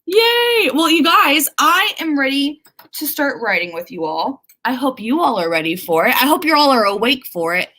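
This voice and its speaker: A woman's voice: sits high, very animated, normal speed, sharp tone. A woman hosting a casual livestream, chatting informally with viewers, reading out their comments and exclaiming as she acts out remarks. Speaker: livestream host.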